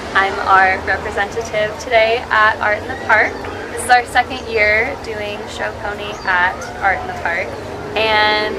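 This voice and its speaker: Upbeat woman, slightly high voice. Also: background noise. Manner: upbeat and friendly. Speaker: bar representative. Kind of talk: promotional greeting. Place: outdoor art fair.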